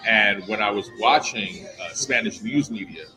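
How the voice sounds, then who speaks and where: A bilingual man co-hosting a live political discussion, talking in a lively, conversational way. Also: on the low side, normal speed, music in the background, rough recording. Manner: animated, conversational. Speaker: co-host.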